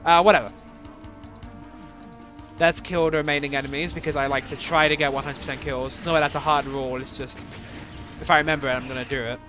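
A man's voice: on the high side, level tone, fast talker, pauses often, music in the background. A young man casually commenting on his own game levels as he plays, rambling and self-deprecating, with lots of fillers and restarts.

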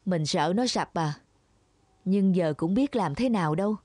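A woman voicing a practical, anxious farming villager in a children's animated fable. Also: fairly low pitch, normal speed, dry recording. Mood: worried, urgent. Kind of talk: children's cartoon fable dubbing.

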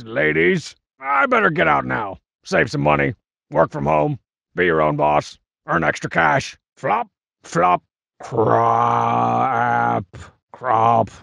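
A man voicing a cocky, scheming cartoon masked wrestler for a comedic video game, with a gravelly, strained rasp and a bragging, sarcastic, mock-cool manner. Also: fairly high pitch, pushed and bright, no room sound, exaggerated voice. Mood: dismissive, sarcastic.

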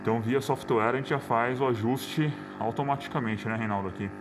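A man's voice: mid-range voice, brisk, noisy background. A man with a Brazilian accent hosts an informal video presentation, speaking conversationally like a teacher. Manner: conversational, checks with colleague. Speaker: host, professor.

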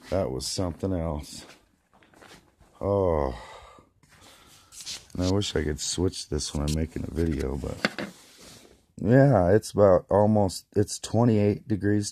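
A hoarse man, about forty, rambling in a casual vlog, filmed on a handheld phone inside a small greenhouse. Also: low voice, dark tone, slight background noise. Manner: rambling, many restarts and fillers. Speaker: vlogger. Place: small plastic greenhouse, indoors. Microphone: phone camera held close.

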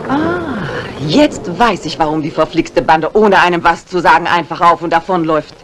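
Schauspielerin als trockene, resolute Dame, alte verrauschte Radioaufnahme. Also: female voice, on the low side, lively intonation, normal speed, natural voice. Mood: wry, curious. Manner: composed, commanding, wry. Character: brisk, dry-witted older lady mystery writer, mistress of the house. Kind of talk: 1950s German radio mystery acting. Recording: noisy old 1950s radio recording.

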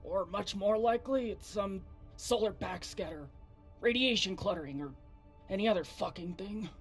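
An actress playing a hard-bitten, foul-mouthed, defiant spaceship pilot in a video game, with a blunt, sardonic way of talking. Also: female voice, medium pitch, moderate pace, natural voice. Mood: scornful. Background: loud music.

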